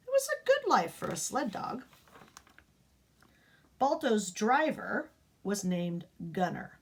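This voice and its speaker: Woman storybook reader, unhurried, expressive with dramatic hushes; for a children's read-aloud. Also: medium pitch. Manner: expressive, rising and dropping to a hush for drama. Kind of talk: reading a picture book aloud to children.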